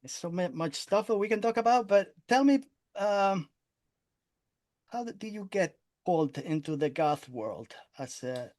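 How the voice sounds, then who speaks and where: A man's voice: sits high, expressive, unhurried, a little mellow. A man hosting an interview, whose first language is Spanish, asking questions in a chatty way with loose grammar. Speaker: interview host.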